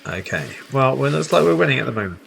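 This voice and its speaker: A man's voice: mid-range voice, sing-song, fast, gravelly, music in the background. A man hosting a casual game tutorial, explaining each step as he plays. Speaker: host, gaming teacher. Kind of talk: casual tutorial commentary over gameplay.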